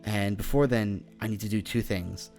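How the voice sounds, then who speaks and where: A man playing a confident young demon mage in an improvised Minecraft fantasy roleplay, speaking in a halting, conversational way and often checking for agreement. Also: lowish voice, steady tone, darkish tone, close to an everyday voice. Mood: earnest, explaining. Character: confident young male demon mage, casual, secretive, determined. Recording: voice over background music.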